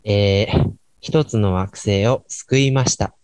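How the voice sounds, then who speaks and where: Male actor as late-night host: young, lower register, easygoing straight man, dry studio-close sound. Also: takes it slowly, darkish tone, clean tone, dry recording. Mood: relaxed, wry. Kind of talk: amateur radio drama acting as late-night radio presenting.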